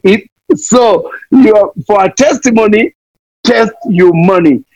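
A man's voice: high voice, unhurried, darkish tone, no echo. A man from Kenya, preaching fervently as a missionary guest, pleading again and again and playing on words. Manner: impassioned, repeats pleas, wordplay. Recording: dull lo-fi dry sound.

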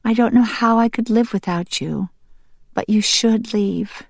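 A woman voicing a gentle, weary, tender mother bird in a soft, half-whispered audiobook voice. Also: a little high, measured pace, creaky, dry recording, clean studio sound, pitched above the performer's own voice. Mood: gently urging. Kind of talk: audiobook character voice.

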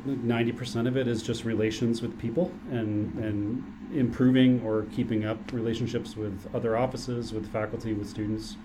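A middle-aged man, a dean and former professor, answering candidly in a low-key way with frequent fillers. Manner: candid and low-key, hedging with um and you know. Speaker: arts and sciences dean. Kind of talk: casual interview answers. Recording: noisy room recording.

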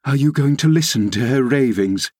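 A man's voice: medium pitch, lively intonation, normal speed, a little mellow, dry recording, studio quality, voice pushed up, lightly acted. A man voicing an alarmed female servant who scolds and warns with urgent passion in a classic novel reading. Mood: scolding, horrified. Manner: passionate, exclaiming warnings.

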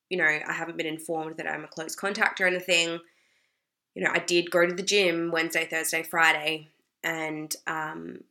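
Woman, Australian accent, young adult, slightly hoarse, rambling casual vlog chat, lowish pitch. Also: bright, forceful tone. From South Australia. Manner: chatty, loose, full of "like" and "you know". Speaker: vlogger. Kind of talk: casual talk to camera.

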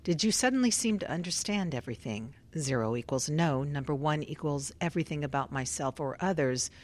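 Low-pitched, composed woman researcher played by an actress. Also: lively intonation, darkish tone. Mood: procedural. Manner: formal, measured, procedural. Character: composed, polite, methodical woman research doctor. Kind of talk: radio drama acting.

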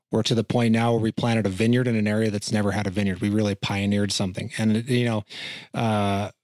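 Fishing guide type from Montana, mid-pitch man, halting chatty delivery, dry close mic. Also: no room sound. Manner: halting, repeats words, many fillers. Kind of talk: podcast conversation.

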